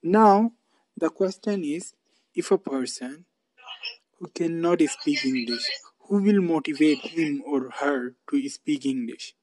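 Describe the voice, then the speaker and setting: A man's voice: on the high side, expressive, slow pace, darkish tone, rough recording. A man hosting a casual English practice video call, speaking simple English and repeating his phrases. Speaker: YouTube channel host.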